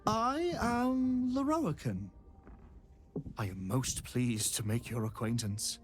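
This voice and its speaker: Smug male wizard, unctuous, theatrical, fantasy game villain, wildly varied pitch, faint music. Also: animated, slow. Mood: oily politeness. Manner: theatrical, unctuous, mock-polite. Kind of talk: fantasy video game acting.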